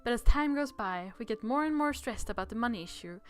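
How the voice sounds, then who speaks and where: A woman game streamer reading a visual novel aloud with playful commentary, expressive and giggly. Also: a little high, brisk, smooth, faint music behind.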